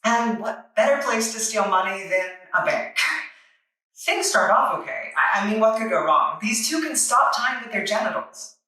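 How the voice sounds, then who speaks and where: Echoey, bright-voiced woman reviewer. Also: medium pitch, animated, moderate pace. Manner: wry, tongue-in-cheek. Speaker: reviewer and narrator. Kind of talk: playful scripted comic review. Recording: echoey room, distant microphone.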